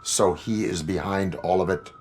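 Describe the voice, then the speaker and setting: A man acting out deep-voiced stoic cyborg cop. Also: a little hiss or hum, close to an everyday voice. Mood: probing, flat. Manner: clipped, flat, deliberate. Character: stoic part-man, part-machine police officer. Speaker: lead character in a video game.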